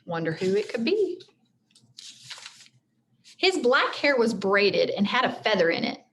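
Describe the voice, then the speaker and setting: A woman's voice: a little high, sing-song, a little slow, fairly bright tone, a little hiss or hum. A woman teacher reading a storybook aloud expressively, with simple explanatory asides for children.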